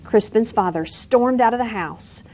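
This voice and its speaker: A woman reading a children's bedtime story aloud with expressive, gentle storytelling. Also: a little high, normal speed. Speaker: reader.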